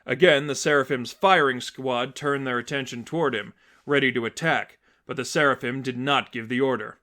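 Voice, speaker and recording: Medium-pitched man narrating dramatic fiction. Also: normal speed, bright, forceful tone. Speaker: fan fiction reader, narrator. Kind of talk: scripted story narration.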